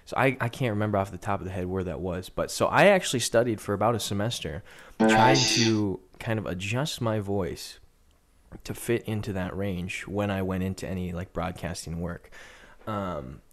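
Guy in his twenties, casual chat, studio mic at home, lowish pitch. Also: moderate pace. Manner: thoughtful, many fillers and restarts. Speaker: sports broadcaster guest. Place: home room. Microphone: studio condenser mic on video call.

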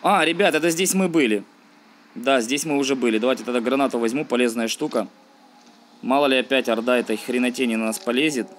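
A man's voice: medium pitch, fast talker, a little hiss or hum. A man giving casual, slangy let's-play commentary, thinking aloud and grumbling as he plays. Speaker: gaming video host.

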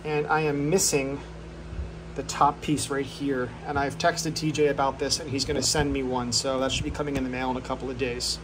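A man's voice: mid-range voice, level tone, fairly quick, background noise. A man filming a casual, offhand walkthrough of his home workshop, joking at his own expense. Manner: offhand and self-deprecating, with fillers. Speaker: channel host.

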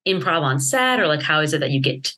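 Woman interviewer on a video call, low voice, bright tone, friendly chatty questions. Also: big pitch swings, fast. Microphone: video call with earphones.

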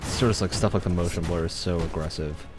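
A man's voice: sits low, steady tone, quick pace, music in the background. A young man giving casual, critical, bemused commentary as he streams a video game.

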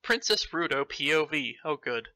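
Man, very bright sharp voice, dry sound, amused mock-dramatic reading, riffing asides. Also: a little high, animated, normal speed, dry recording. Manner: amused, breaks off to riff. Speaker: host reading fan fiction aloud. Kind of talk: mocking dramatic reading with commentary.

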